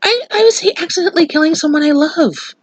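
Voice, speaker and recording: A woman's voice: sits high, fast talker, dry recording. Stuffy-nosed woman chatting, dry sound. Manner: rambling, opinionated asides. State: stuffy nose, slightly nasal. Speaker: vlogger. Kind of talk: casual chat.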